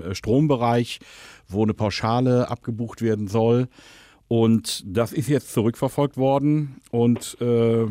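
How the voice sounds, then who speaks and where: A man's voice: fairly low pitch, warm tone, dry recording, clean studio sound. A man talking casually and conversationally as the host of a prank call show.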